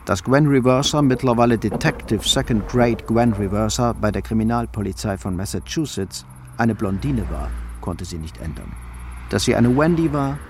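A man's voice: lowish voice, normal speed, darkish tone, slight background noise. A man reading scripted narration as the storyteller of a radio crime drama.

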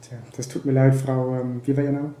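A man's voice: mid-range voice, monotone, a little fast, mellow, natural voice. A man playing a polite, evasive ministry official, hesitant and officious, in a naturalistic radio drama. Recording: roomy office sound, faint noise.

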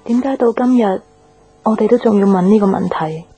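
A woman's voice: medium pitch, a little fast, dark tone, natural voice, poor audio. An actress playing a caring but frustrated young girlfriend in a Cantonese radio drama, with a Hong Kong accent and an earnest, plaintive manner. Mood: anxious, sad. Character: caring, frustrated young girlfriend, anxious about her relationship. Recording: background music under the dialogue.

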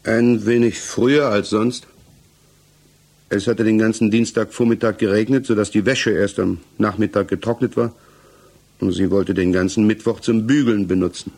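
An actor playing a dejected, cornered husband under interrogation in a 1960s German radio play, speaking hesitantly. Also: male voice, on the low side, steady tone, a little mellow, breathy edge. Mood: subdued, halting. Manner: halting, hesitant, subdued. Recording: mono 1960s radio recording.

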